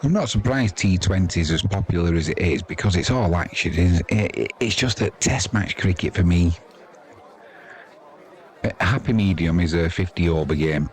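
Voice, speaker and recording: Deep-voiced older British man commentating cricket. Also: animated, normal speed. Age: 50s or older. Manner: chatty, rambling, colloquial. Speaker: sports commentator. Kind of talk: casual ball-by-ball cricket commentary. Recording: crowd noise behind the voice.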